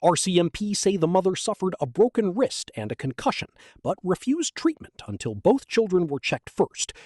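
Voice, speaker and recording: A man's voice: sits high, big pitch swings, normal speed, a little mellow, breathy edge, dry recording, clean studio sound, heavily acted. A man playing an earnest small-town radio news anchor, reading a scripted bulletin.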